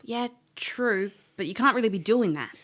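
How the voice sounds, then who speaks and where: A woman's voice: medium pitch, animated, normal speed, a bit bright, natural voice. An actress playing a world-weary female elf, a former thief, dry and blunt in an audio drama. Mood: firm, dry. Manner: dry, blunt, matter-of-fact.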